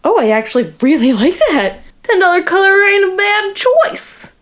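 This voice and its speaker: Lively, chatty young woman vlogger. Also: extremely high pitch, normal speed. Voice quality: loud voice. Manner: chatty, rambling, self-deprecating, talks to viewers while working. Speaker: makeup vlogger. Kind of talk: chatty makeup tutorial. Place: her bedroom at home.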